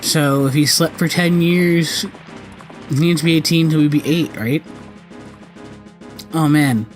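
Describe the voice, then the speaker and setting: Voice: medium pitch, steady tone, unhurried. Rambling gamer with game music behind. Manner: rambling, self-correcting. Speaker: gaming video host. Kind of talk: casual let's play commentary. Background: video game cutscene music.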